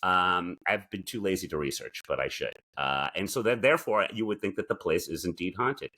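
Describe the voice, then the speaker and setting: A man's voice: deep voice, fairly quick, bright, forceful tone. A man co-hosting a podcast, chatting casually with a wry, joking manner. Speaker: podcast co-host. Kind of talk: casual comedic chat.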